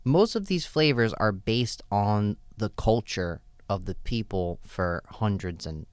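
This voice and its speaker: A man's voice: on the low side, takes it slowly, clear, resonant tone, no room sound, clean studio sound. A man who owns a shop, answering interview questions in a casual, loose way with lots of "right?" and "you know". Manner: relaxed, loose, many fillers and "right?" tags. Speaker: store owner, guest.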